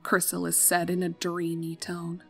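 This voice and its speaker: A woman's voice: lowish voice, completely flat delivery, measured pace, creaky, faint music behind. A woman narrator reading a scripted story.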